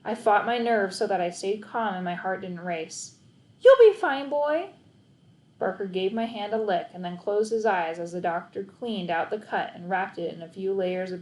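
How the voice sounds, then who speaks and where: A woman's voice: medium pitch, a little mellow. A woman narrating a children's novel aloud as a storybook reader. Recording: echoey, roomy sound.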